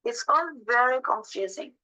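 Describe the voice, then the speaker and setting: A woman's voice: on the high side, animated, normal speed, sharp tone, rough recording. A young woman from Romania with a Romanian accent, earnestly telling her own story of learning English as a prepared testimony. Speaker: guest giving a testimony. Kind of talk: prepared personal testimony, read aloud.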